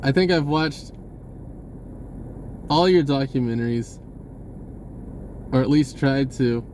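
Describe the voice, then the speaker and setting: A man talking casually in a rambling monologue, halting and pausing mid-sentence as he goes. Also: medium pitch, darkish tone, a little hiss or hum. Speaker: vlogger.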